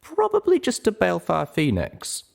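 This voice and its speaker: A man's voice: high-pitched, huge pitch swings, moderate pace, vocal fry, darkish tone, pitched above the performer's own voice, heavily acted. A man voicing a teasing, affectionate adult female pony in a story, with a playful manner. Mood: dismissive, sleepy.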